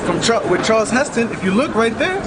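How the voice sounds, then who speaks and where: A man's voice: high voice, big pitch swings, fairly quick. A man preaching outdoors, emphatic and full of rhetorical questions. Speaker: street preacher. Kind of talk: street preaching in English. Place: outdoors on a busy city street. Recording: loud street noise and crowd.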